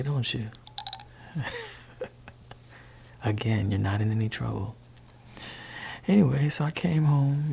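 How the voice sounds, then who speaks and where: Gravelly man playing a laid-back dad. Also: a little hiss or hum. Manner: soft-spoken, shifting between low voice and whisper. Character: laid-back gentle father talking to his teenage child. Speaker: ASMR creator. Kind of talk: ASMR roleplay.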